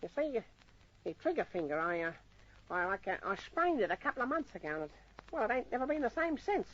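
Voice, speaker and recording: An actor playing a cowardly, chatty small-time Australian sidekick in an old radio crime drama, with rough working-class speech and stammering excuses. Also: male voice, high voice, very animated, fast talker, fairly bright tone, exaggerated voice. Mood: evasive. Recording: muffled old radio recording.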